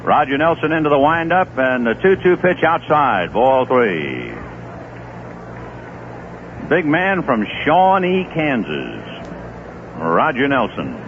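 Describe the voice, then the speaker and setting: A man doing radio play-by-play of a baseball game, easygoing and folksy, with chatty asides between pitches. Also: big pitch swings, slow, pauses often. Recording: tinny old AM radio broadcast with faint hiss.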